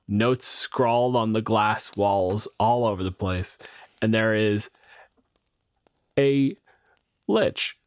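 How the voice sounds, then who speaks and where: A man's voice: fairly low pitch, no room sound. A man narrating an improvised tabletop game in a casual style as game master.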